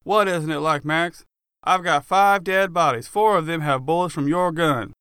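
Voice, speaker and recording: Casting: male narrator as an angry, blunt male cop in an audiobook drama, pitched up, sharp, emphatic and demanding. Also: moderate pace, clean tone, dry recording, clean studio sound, lightly acted. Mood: furious. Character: furious, exasperated male cop friend, bluntly confrontational.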